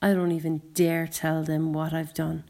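A woman's voice: deep voice, little pitch movement, normal speed, dark tone. A woman voicing a remorseful, kind Scottish father, earnest and apologetic, with a wobbly voice, in an audiobook story. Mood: pained, confiding. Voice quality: wobbly, emotional voice. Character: remorseful Scottish father who caused an accident, upset and kind.

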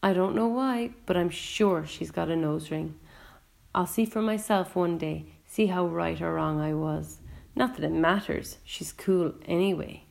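A woman's voice: lowish voice, measured pace, vocal fry, darkish tone. A woman voicing a frank, sardonic young boy who tells his own story in a chatty, colloquial audiobook narration. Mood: chatty, fond. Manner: chatty, colloquial inner monologue. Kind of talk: first-person audiobook narration.

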